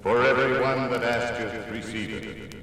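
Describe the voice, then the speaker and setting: An actor playing a solemn scripture reader, measured and emphatic, in 1950s radio drama style. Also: male voice, mid-range voice, fairly even intonation, fairly bright tone, natural voice. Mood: reverent. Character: solemn male scripture reader proclaiming biblical promises.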